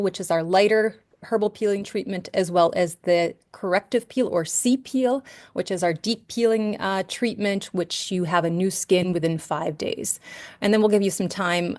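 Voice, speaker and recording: A woman's voice: lowish voice, fairly even intonation, moderate pace, darkish tone, dry recording, clean studio sound. A middle-aged woman from New York giving an upbeat skincare training webinar over a video call, with frequent ums and restarts. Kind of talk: webinar presentation.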